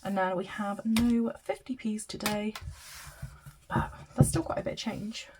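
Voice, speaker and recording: A woman's voice: medium pitch, a little slow, background noise. A British woman with a blocked-up nose from hay fever, chatting casually and counting money aloud in her budgeting vlog. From Britain. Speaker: budgeting vlogger. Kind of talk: casual chat while counting cash aloud.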